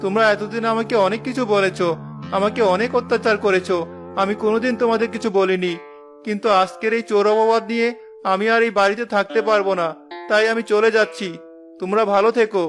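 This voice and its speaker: A man voicing a gentle, wronged young orphan nephew who speaks earnestly, in a cartoon. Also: sits high, fairly even intonation, fast talker, faint music behind. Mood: hurt. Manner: earnest, hurt. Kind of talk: cartoon voice acting.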